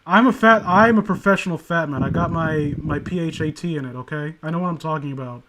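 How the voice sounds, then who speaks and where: A man's voice: on the high side, quick pace, background noise. A man hosting a casual chat before a story reading, ranting and joking with plenty of swearing. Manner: profane, joking, animated banter. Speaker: host of a story reading stream.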